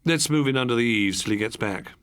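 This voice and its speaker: A man's voice: mid-range voice, quick pace, a bit bright, clear, resonant tone, no room sound, clean studio sound, lightly acted. A man voicing a resentful, controlled husband with a brooding, clipped manner for an audiobook story. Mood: curt.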